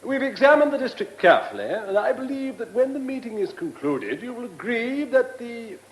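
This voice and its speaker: A pompous, easily flustered civil servant in a radio play. The man's voice is high and swooping, halting yet condescending, in an echoey hall. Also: very animated. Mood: officious, halting. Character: officious, patronising, flustered male ministry bureaucrat.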